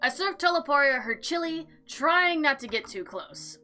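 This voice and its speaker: A woman with a high, bright voice hosts a game playthrough. She reads the story text aloud with lively swings of pitch and drops in slangy, sarcastic asides. Faint music plays behind her. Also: normal speed. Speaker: gaming video host. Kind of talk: animated let's play, reading game text aloud with casual commentary.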